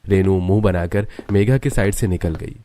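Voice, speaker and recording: A man narrating a scripted animated family story as a storyteller. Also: low voice, normal speed, a little hiss or hum.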